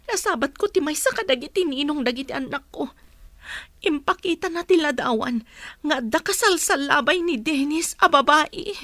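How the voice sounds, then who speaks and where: A woman's voice: sits high, expressive, moderate pace, pushed and bright, no room sound. A woman acting the part of a hurt but resolute wife and mother in a radio-style drama, emotional and plaintive. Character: hurt, resolute wife and mother leaving her cheating husband.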